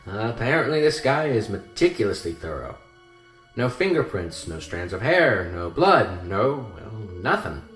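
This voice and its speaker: An actor playing a seasoned small-town mortician in a radio drama, theatrical and morbidly chatty with hesitant asides. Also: male voice, on the low side, big pitch swings, moderate pace, a bit bright. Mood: explaining, animated. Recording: roomy echo with clear music behind. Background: music.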